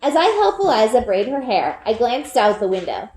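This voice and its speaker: A woman reading a children's book aloud as an expressive storyteller, narrating from the text. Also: fairly high pitch, normal speed. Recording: roomy indoor echo.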